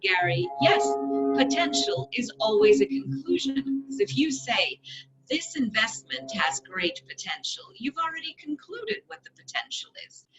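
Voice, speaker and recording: A woman's voice: fairly high pitch, a bit bright, a little hiss or hum. A woman hosting a read-along, reading a book aloud with emphatic phrasing and stretched words. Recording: dull lo-fi audio.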